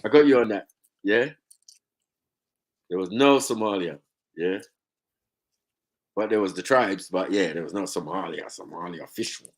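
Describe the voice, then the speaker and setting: A man's voice: deep voice, moderate pace, pauses often. A man in a casual live panel chat, teasing and laid-back.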